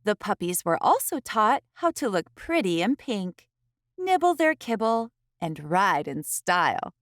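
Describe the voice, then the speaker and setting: A woman host reading a picture book aloud to young children, expressively, with careful phrasing and dramatic pauses. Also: medium pitch, big pitch swings, fairly bright tone, dry recording, studio quality.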